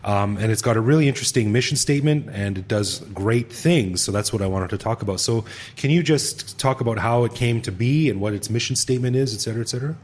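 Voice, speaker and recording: A man's voice: medium pitch, quick pace, hardly any pauses, darkish tone. A middle-aged man hosting a podcast, chatty and laid-back, laughing easily with an old friend. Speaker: podcast host. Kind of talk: casual interview.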